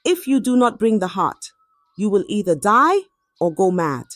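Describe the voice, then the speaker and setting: A woman voicing a stern, menacing male village chief priest in a folktale, grave and deliberate. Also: medium pitch, very animated, dry recording, soft background music, lightly acted. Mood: cold menace. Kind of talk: folktale character voice in narration.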